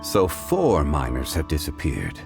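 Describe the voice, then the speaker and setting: An actor playing a calm, analytical gentleman investigator in a video game, speaking formally and deliberately. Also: a bass voice, creaky, over music, exaggerated voice. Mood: calm, pondering. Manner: formal, measured, deliberate reasoning.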